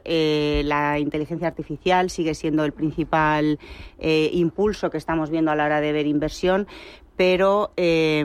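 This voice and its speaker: A woman speaking as a panel guest, in measured, halting phrases. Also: low-pitched, a little mellow. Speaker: financial analyst, panel guest. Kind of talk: unscripted market commentary.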